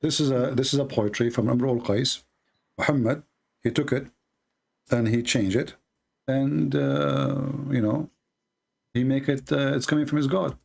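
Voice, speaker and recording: Gravelly man. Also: medium pitch, fairly even intonation, normal speed, long pauses, darkish tone. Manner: rambling, with many fillers and restarts. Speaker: host of a call-in debate show. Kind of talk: casual call-in talk.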